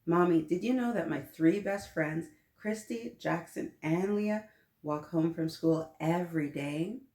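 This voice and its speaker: A woman voicing an eager, determined little girl with a high, pleading voice during a read-aloud. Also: a little slow, a little mellow. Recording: echoey room.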